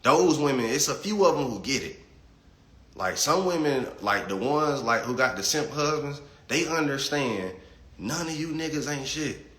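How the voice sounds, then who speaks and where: A man in his late twenties holds forth on a casual livestream in a blunt, profane way, stumbling over repeated words as he starts each point. His voice sits in a middle pitch and carries some room echo. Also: animated, moderate pace, a bit bright. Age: 20s. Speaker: livestream host reading chat.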